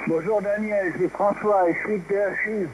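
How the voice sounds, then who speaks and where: A man's voice: high voice, fairly even intonation, moderate pace. A man in a casual amateur radio exchange, heard over a two-way radio. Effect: two-way radio transmission. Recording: dull, noisy amateur radio reception.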